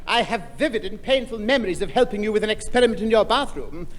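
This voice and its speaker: Fussy, high-voiced pompous gentleman. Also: expressive, fast talker. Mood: flustered. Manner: pompous, formal, stammering when confused. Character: fussy, prissy, easily flustered gentleman neighbour. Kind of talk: 1960 radio sitcom acting. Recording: noisy echoing 1960 radio recording.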